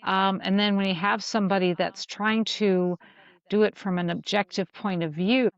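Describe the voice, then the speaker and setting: A woman talks as a podcast co-host in a casual, reflective style. Her voice has plenty of creak and she feels her way haltingly through her thoughts. The sound is dry and slightly lo-fi. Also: mid-range voice, fairly even intonation, no echo. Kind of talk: casual discussion of an audio drama.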